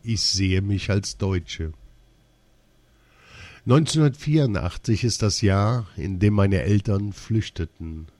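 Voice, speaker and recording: A man reading a first-person personal essay aloud in a slow, measured, deliberate way. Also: fairly low pitch, animated, darkish tone, dry recording.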